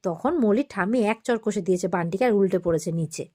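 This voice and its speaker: A woman's voice: medium pitch, quick pace, dark tone. A woman narrating a TV serial recap in a casual, chatty storytelling way, acting out the dialogue as she goes.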